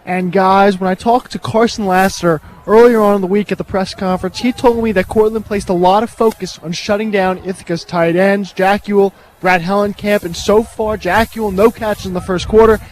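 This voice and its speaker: A man's voice: high-pitched, fairly even intonation, a little fast, hardly any pauses, rough recording. A man giving a live football report from the sideline for radio.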